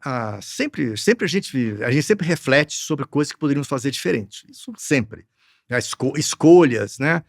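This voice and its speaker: Gravelly, hesitant Brazilian man. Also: mid-range voice, animated, moderate pace, no room sound, clean studio sound. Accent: Brazilian Portuguese. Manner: hesitant, restarts a lot, earnest. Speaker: guest, film producer. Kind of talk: casual interview answers.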